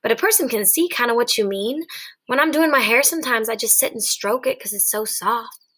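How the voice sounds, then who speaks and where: A woman's voice: fairly high pitch, fairly quick, pushed and bright. Woman reading as a vain young ranch wife. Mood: chatty. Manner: chatty, self-satisfied.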